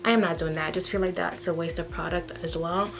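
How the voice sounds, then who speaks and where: A woman's voice: fairly low pitch, fairly even intonation, fast talker, music in the background. Chatty young woman from Haiti. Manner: chatty, frank, says "okay?" often. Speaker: beauty vlogger. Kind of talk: casual get-ready-with-me chat.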